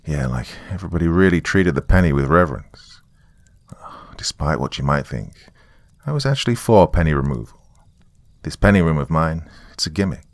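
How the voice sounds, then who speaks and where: Man playing a deep, gravelly, sardonic storyteller. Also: animated, a little fast, no echo. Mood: wry, confiding. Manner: sardonic, dry, confiding. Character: wry, jaded divorced small-town man recording his account. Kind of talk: first-person horror story narration, conversational.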